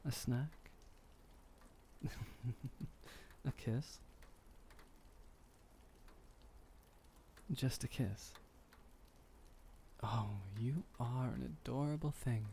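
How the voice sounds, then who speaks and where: A man playing a gentle, doting, centuries-old vampire boyfriend, speaking softly and tenderly with slightly old-fashioned formality in an intimate ASMR roleplay. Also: mid-range voice, slight background noise. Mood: tender, teasing. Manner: soft, unhurried, tender, lightly formal old-fashioned phrasing.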